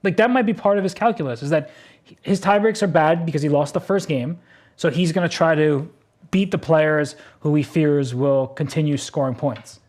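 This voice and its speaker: A man's voice: a little high, normal speed, a little mellow. A man commentating a blitz chess game, exclaiming at surprising moves and laughing easily. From United States.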